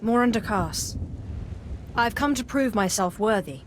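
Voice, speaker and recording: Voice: mid-range voice, animated, a little slow, a little hiss or hum, natural voice. An actor playing a cool, composed Sith initiate in a video game, speaking in a formal, controlled way. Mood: composed, deferential.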